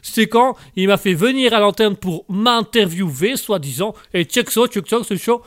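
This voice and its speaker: A man's voice: high-pitched, moderate pace, fairly bright tone, dry recording, clean studio sound. A man voicing an indignant male Québécois with a mock Québécois accent and Québécois swearing.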